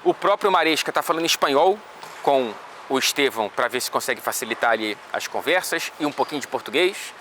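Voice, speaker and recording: A man's voice: medium pitch, animated, a little fast, bright, forceful tone, a little hiss or hum. A man with a Brazilian accent casually breaking down a football match for his vlog, chatty and loose with slangy asides. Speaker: football reporter.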